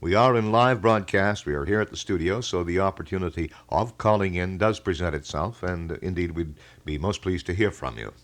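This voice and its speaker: Middle-aged man, American accent, lowish voice, radio call-in host, folksy, wordy, halting delivery. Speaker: radio talk show host. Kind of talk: live call-in radio chat. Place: radio studio. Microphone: studio broadcast microphone.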